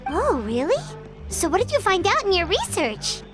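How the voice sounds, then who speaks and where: A tiny, curious companion with an extremely high, bouncing voice. She chirps questions to the others. Game music plays clearly behind. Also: huge pitch swings, moderate pace, full character voice. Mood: chirpy.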